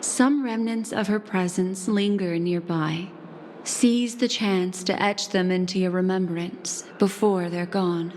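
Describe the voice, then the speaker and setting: Unhurried, poised, mysterious woman. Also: lowish voice, fairly even intonation, slight background noise. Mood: calm, advising. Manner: formal, poised, gently deliberate. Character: composed, courteous, mysterious woman guardian. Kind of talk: video game voice acting. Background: background music.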